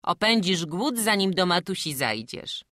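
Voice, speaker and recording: Kobieta grająca głośna, troskliwa gospodyni. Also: female voice, lowish voice, very animated, unhurried, no echo, studio quality, voice pushed up. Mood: fussing. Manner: loud, fussing, motherly. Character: kindly, bossy peasant farmwife.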